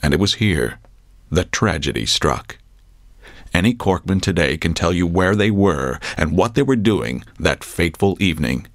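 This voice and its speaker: A man's voice: low voice, expressive, moderate pace, no echo, studio quality. A man playing a pompous, grave documentary narrator, reading a scripted mock documentary. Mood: portentous.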